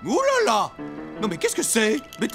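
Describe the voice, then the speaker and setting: A high-strung, exasperated cartoon dog owner. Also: male voice, wildly swooping pitch, normal speed, full character voice. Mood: irritated. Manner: theatrical, swinging between coaxing and indignant. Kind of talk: French cartoon dub acting. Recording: music behind the voice.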